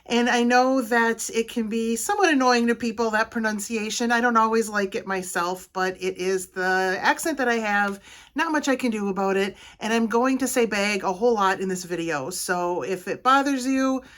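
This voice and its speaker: A woman's voice: on the high side, normal speed, a bit bright. A middle-aged woman from Wisconsin with a Wisconsin accent, giving practical travel advice to camera in a plainspoken, orderly way. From Wisconsin, United States. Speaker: travel vlogger. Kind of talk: casual advice talk to camera.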